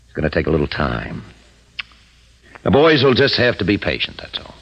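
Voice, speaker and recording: Casting: smug, domineering radio-drama gang boss, very low voice with lively swings, vintage muffled sound. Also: male voice, moderate pace, creaky, husky, full character voice. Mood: confident. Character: calm, scheming male crime boss hungry for power. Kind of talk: 1950s radio drama acting. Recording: dull 1950s radio recording.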